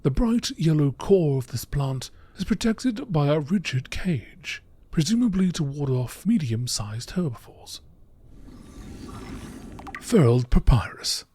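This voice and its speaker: Male narrator, fairly high voice, very animated pitch, measured nature-documentary read, some background noise. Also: breathy edge. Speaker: narrator reading game text aloud.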